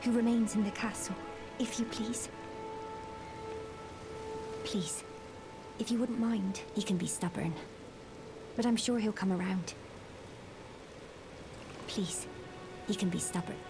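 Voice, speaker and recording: A woman voicing a gentle, frail young maiden in a fantasy game, soft, breathy and very polite. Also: mid-range voice, fairly even intonation, takes it slowly, long pauses. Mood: pleading. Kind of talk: scripted fantasy game dialogue. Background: music.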